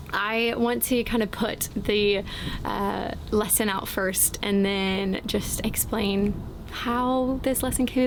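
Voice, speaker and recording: A woman in her late twenties, talking slowly and thoughtfully in a casual vlog with frequent ums, outdoors in the wind. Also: medium pitch. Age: late 20s. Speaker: vlogger. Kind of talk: reflective casual vlog talk. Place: outdoors, windy.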